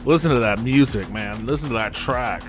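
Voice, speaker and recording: A man's voice: mid-range voice, moderate pace, music in the background. A man giving casual, off-the-cuff commentary as a streaming host, with a sardonic, skeptical way of talking and some swearing. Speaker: host of a gaming podcast and stream.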